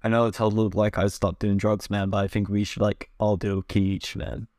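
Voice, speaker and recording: A man playing a crude, defensive young frat bro with halting, sweary slang in an improvised comedy sketch. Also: lowish voice, flat delivery, a little mellow, no echo, studio quality, lightly acted.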